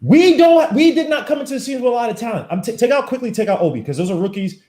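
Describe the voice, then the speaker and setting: A man hosting a podcast, arguing his point in a fast, emphatic, animated way. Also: very high-pitched. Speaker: podcast host. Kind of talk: casual sports debate. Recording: echoey room.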